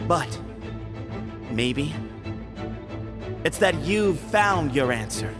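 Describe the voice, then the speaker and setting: An earnest young heir to a desert temple in a video game. His voice sits high for a male and delivers measured, solemn lines. Loud music plays behind him. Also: expressive. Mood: reflective, earnest. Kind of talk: dramatic video game voice acting.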